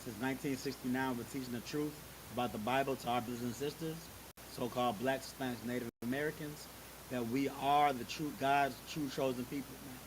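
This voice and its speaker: A man's voice: medium pitch, brisk. A man casually introducing a religious service, talking in a loose, repetitive way with many filler phrases. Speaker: congregation member hosting a religious service. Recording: steady background hiss.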